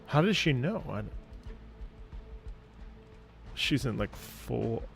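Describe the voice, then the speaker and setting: A man's voice: mid-range voice, very animated, takes it slowly, creaky. A man giving casual playthrough commentary as a streamer reacting to a video game and its music.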